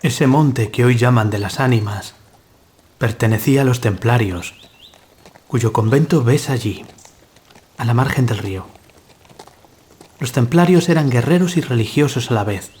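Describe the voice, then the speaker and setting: A man's voice: medium pitch, a little slow, long pauses, darkish tone, slight background noise, close to an everyday voice. Man acting solemn young nobleman. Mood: grave storytelling. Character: young Castilian nobleman and hunter, solemn, courtly storyteller. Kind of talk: dramatised gothic audio story.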